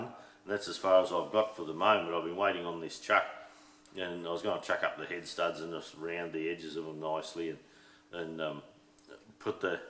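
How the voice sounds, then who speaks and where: Hoarse, low-voiced Australian man rambling. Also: fairly bright tone. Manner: rambling, full of ums and restarts. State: a bit hoarse. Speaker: workshop channel host. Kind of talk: casual rambling shed chat. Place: home workshop shed. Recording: echoey shed room.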